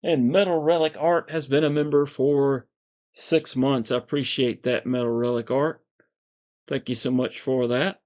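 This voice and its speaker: A man with a calm, soothing voice, hosting a casual livestream, reading viewer comments in a relaxed, meandering way. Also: mid-range voice. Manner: relaxed, meandering, frequent fillers and soft chuckles. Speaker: livestream host.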